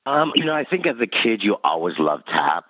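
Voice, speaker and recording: A man's voice: fairly low pitch, big pitch swings, brisk, a bit bright, no room sound. A man in his forties who grew up in Canada, reflecting easily on his dance career as an interview guest. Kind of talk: casual interview talk. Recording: dry, dull lo-fi recording.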